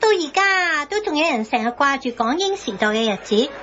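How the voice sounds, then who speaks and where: An actress playing a needling, sharp-tongued older woman in a satirical radio drama, in Hong Kong Cantonese. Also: sits high, animated, a little fast, a little hiss or hum. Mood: sarcastic. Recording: dull, band-limited broadcast audio.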